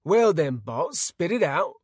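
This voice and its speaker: A man voicing a gruff middle-aged village leader who talks bluntly and forcefully. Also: a little high, sing-song, slow pace, no echo, clean studio sound, pitched above the performer's own voice, full character voice.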